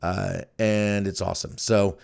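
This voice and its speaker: Male podcast host, casual solo talk, deeper register, clean resonant tone. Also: little pitch movement, a little slow, creaky, darkish tone, clear, resonant tone.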